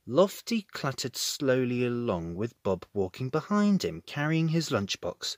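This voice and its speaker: A man narrating a children's storybook in an expressive reading style. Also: medium pitch, a little slow, no echo, studio quality.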